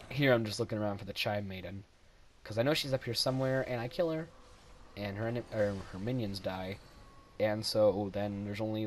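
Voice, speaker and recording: A man's voice: fairly low pitch, a little hiss or hum. A young man casually commenting over his own gameplay footage, rambling and self-deprecating, often laughing at his own jokes.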